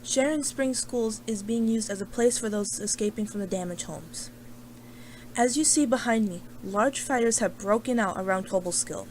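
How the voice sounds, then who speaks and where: A woman's voice: mid-range voice, moderate pace, a little mellow, a little hiss or hum, close to an everyday voice, poor audio. A woman playing a serious local TV reporter, giving an earnest, matter-of-fact scripted report from a disaster scene. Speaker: field news reporter. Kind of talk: scripted live news report.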